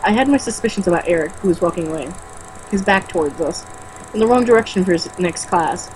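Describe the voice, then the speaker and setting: A woman's voice: lowish voice, expressive, quick pace, a little hiss or hum, low-quality recording. A young woman reading a book aloud casually, with sarcastic, exasperated, foul-mouthed commentary. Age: young adult.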